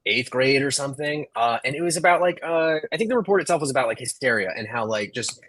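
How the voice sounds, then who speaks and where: A man in his thirties with a husky voice, chatting casually as a panelist from a room at home on a video call. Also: mid-range voice, lively intonation, quick pace, a bit bright, no room sound. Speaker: panelist who works in film. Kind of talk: casual chat.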